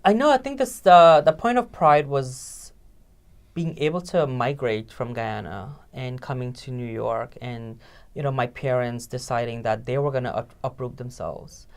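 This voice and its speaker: A man speaks earnestly as a guest in a casual interview chat. His voice sits in a middle pitch. He pauses on fillers and often ends a point with a tag question. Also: smooth.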